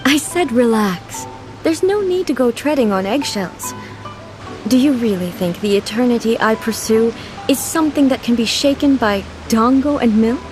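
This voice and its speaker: An actress playing a calm, dignified ruler who speaks formally and with composed authority in an anime game. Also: female voice, on the high side, normal speed, close to an everyday voice. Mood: composed, reassuring. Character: dignified female ruler, ageless, calm, regal, aloof yet curious. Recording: loud background music.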